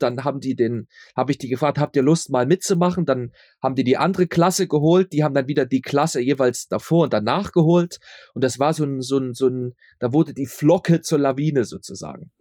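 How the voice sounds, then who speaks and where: A man's voice: mid-range voice, darkish tone, no room sound. Casual man chatting in a dry recording. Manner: informal, repeats words and phrases, seeks confirmation. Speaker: interview guest, audio drama maker. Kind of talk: casual conversational interview answers.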